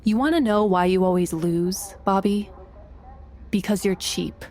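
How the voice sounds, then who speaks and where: A woman's voice: mid-range voice, measured pace, darkish tone, close to an everyday voice. A woman playing a cunning, vengeful con artist in a crime audio drama, composed and taunting. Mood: gloating.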